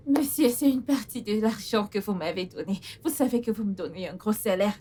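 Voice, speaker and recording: An actress playing a humble, earnest young household employee and devoted daughter in a melodramatic film. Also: female voice, mid-range voice, animated, moderate pace, warm tone, husky. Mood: warm, respectful. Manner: earnest, effusively grateful. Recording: music under the voice.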